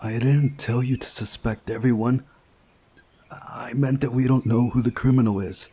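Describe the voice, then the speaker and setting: A man's voice: mid-range voice, fairly even intonation, normal speed, dark tone, husky, pitched above the performer's own voice, natural voice. A man voicing a defensive, unsettling teenage girl in a visual novel, speaking insistently and emphatically. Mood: defensive, indignant.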